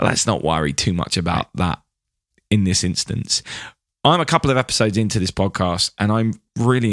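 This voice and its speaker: A man's voice: lowish voice, no echo, clean studio sound. A man hosting a podcast, chatting casually and with effusive enthusiasm. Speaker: podcast host. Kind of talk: casual podcast interview chat.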